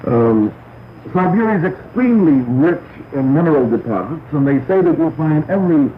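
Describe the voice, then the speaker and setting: A man from the Soviet Union answering listeners' questions on the radio in a measured, explanatory way. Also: a little high, lively intonation. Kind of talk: semi-scripted question-and-answer radio talk. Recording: noisy, echoey, narrow-band 1960s shortwave broadcast. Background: shortwave radio noise.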